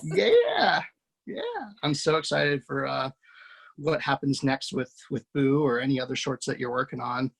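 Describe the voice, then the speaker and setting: A man's voice: medium pitch, sing-song, unhurried, creaky, slightly rough, rough recording. A man hosting over a video call, casually introducing a cast with effusive praise, loose and full of fillers. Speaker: host introducing a table-read cast.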